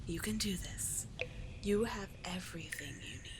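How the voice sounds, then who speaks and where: A woman voicing a doting, teasing sea witch mermaid in an ASMR roleplay, soft and breathy, often near a whisper, with soothing, formal storybook phrasing. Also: on the low side, moderate pace, background noise, close to an everyday voice. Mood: patient, coaxing.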